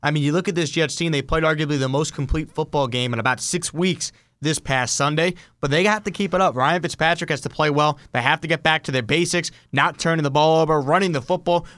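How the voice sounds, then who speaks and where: A man's voice: fairly high pitch, fast, few pauses, fairly bright tone, no echo. An American man hosting a sports talk show, giving fluent, emphatic football analysis. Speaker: sports podcast host.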